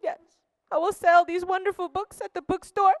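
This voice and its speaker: A woman's voice: extremely high pitch, level tone, moderate pace, no echo, in a large hall, voice pushed up. A woman playing an earnest young man in a children's church skit, praying aloud and sighing. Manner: earnest, prays aloud, sighs. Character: earnest young male teacher.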